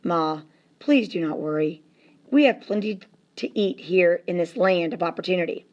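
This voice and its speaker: An earnest older brother working on the railroad, from a storybook, read by a woman. He speaks in a measured, steadfast manner. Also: fairly low pitch, a little mellow, lo-fi sound, lightly acted. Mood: reassuring.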